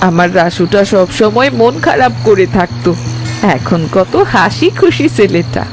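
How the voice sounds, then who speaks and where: A woman's voice: medium pitch, lively intonation, unhurried, natural voice. A woman playing an affectionate, motherly old village aunt in a cartoon story. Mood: contented, doting. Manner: doting, motherly. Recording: music bed behind the voice.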